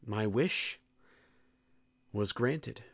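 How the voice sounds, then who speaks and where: A man's voice: mid-range voice, drawn-out words. A young man reading his own personal column aloud in a candid, earnest and reflective way. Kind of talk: scripted personal essay narration.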